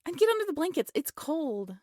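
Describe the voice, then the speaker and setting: A woman playing an affectionate, playful young woman prone to overthinking, in an intimate roleplay audio drama, with soft, teasing, expressive delivery. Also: sits high, brisk, no room sound.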